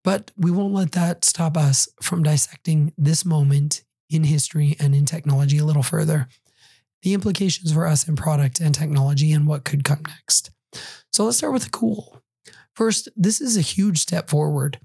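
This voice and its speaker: A hoarse, husky-voiced middle-aged man talks through a solo podcast episode, still getting over a cold and flu. His tone is dark and mellow, conversational with dry, wry asides. The sound is close and dry, with no room around it. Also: a little high, moderate pace, no echo. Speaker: podcast host.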